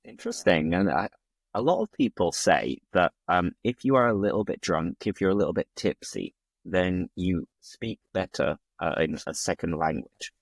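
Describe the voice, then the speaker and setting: A man hosts a relaxed chat, teasing his guest playfully. His voice is fairly low. The sound is dry and close-miked. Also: moderate pace, creaky, no echo. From England, Bingley near Bradford. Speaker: host, English teacher. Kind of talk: casual interview.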